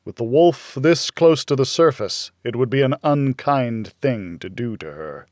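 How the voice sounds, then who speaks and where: A man's voice: medium pitch, expressive, a little slow, a little mellow, no room sound, studio quality. An American man reading scripted first-person noir thriller narration as an audiobook narrator.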